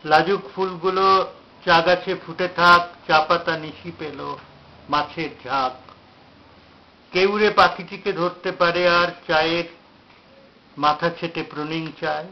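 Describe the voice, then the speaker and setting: A man reciting his own poem in a measured, declamatory way, with pauses between phrases. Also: a little high, fairly even intonation. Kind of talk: poetry recitation. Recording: dull lo-fi, faint background noise.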